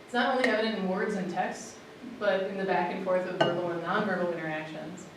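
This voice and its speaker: A woman's voice: fairly low pitch, steady tone, quick pace, very noisy. A woman teacher giving a conference presentation in a casual, chatty way, with lots of "um" and "like". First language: English. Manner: casual and chatty, with many fillers like "um" and "like". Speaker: language teacher presenting a workshop. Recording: echoey room, voice from far off.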